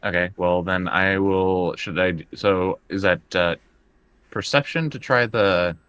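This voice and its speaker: A man's voice: low voice, a little slow, a bit bright, smooth, no room sound. A man playing a tabletop roleplaying game, speaking casually and often in character, hesitating and repeating his words.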